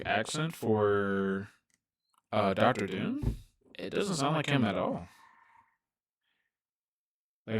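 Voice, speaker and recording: A soft-spoken young man rambling casually through a reaction video, full of fillers and restarts. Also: medium pitch.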